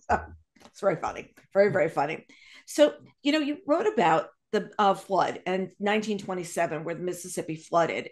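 A middle-aged woman with an American accent, chatting casually as the host of an interview. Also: mid-range voice. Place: home room with bookshelves. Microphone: video call.

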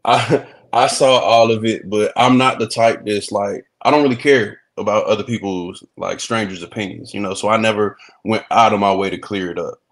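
A man with a very low, deep voice talks over a video call in an easygoing way. He is a comedian from Texas who chuckles often and restarts his phrases. The tone stays laid-back throughout. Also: moderate pace, hardly any pauses. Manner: relaxed, laughs easily, repeats words at starts. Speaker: comedian and reality show guest. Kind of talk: casual video-call interview. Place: indoor room.

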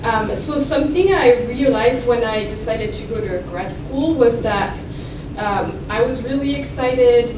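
A young woman from France, a native French speaker, talks through her lecture in a loose, self-deprecating way, full of ums and restarts. She is heard from across a roomy lecture hall, and the recording has clear background noise. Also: medium pitch. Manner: chatty and self-deprecating, many fillers and restarts. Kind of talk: informal lecture at a podium. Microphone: podium microphone heard from a distance. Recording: echoey room from far off, noisy.

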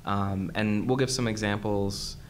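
Low-voiced man explaining on a panel. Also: steady tone, measured pace, a little hiss or hum. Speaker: panel speaker, psychologist. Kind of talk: convention panel talk. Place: hotel conference room with audience.

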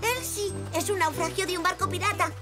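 A performer voicing a curious, imaginative five-year-old boy zebra in an animated children's series, eager and childlike. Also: very high-pitched, brisk, loud music behind the voice. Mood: curious, excited. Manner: eager, wide-eyed, childlike.

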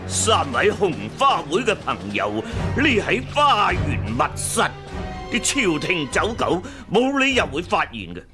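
An actor playing a proud, stern martial-arts master and manor lord in a Hong Kong Cantonese radio drama. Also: male voice, high voice, huge pitch swings, measured pace, over loud music, exaggerated voice. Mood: stern, angry.

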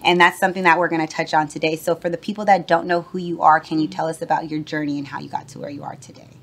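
A woman with a slightly low voice hosts a casual interview in a dim lounge booth. She keeps her guest going with friendly, affirming interjections. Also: steady tone, fast talker, fairly bright tone. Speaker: podcast host.

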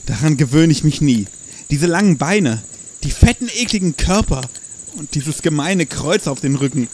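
A man's voice: fairly high pitch, lively intonation, moderate pace, darkish tone, a little hiss or hum, natural voice. A man playing a squeamish, joking camper in a horror-comedy audio play. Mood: disgusted. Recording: music behind the voice.

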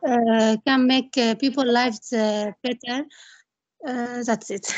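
A woman in her twenties, a student, introducing herself in hesitant, halting English. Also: fairly high pitch, level tone, no echo. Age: 20s. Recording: dull video call.

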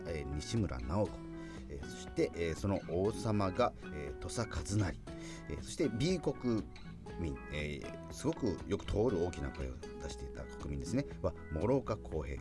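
A man hosting a radio show, making announcements and chatting. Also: low-pitched.

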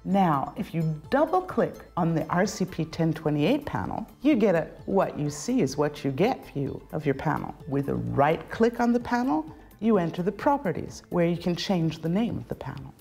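A middle-aged woman giving scripted instructional narration as a tutorial presenter, enunciating clearly step by step. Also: lowish voice, sing-song, normal speed, mellow. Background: background music.

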